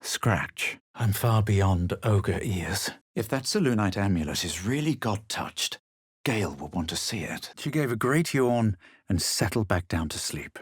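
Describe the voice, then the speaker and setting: A haughty young adventurer for a fantasy video game. His voice sits low with a slightly rough edge, and the recording is dry. He delivers his lines with measured, superior, dramatic aloofness. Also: lively intonation, no room sound. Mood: aloof. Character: haughty young adventurer hero, male, self-assured and superior. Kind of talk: video game character lines and storytelling narration.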